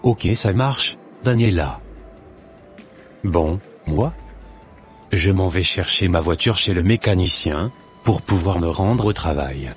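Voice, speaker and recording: A man playing a calm, questioning middle-aged husband in a cartoon, with casual delivery. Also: sits low, takes it slowly, long pauses. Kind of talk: scripted cartoon dialogue. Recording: music under the voice.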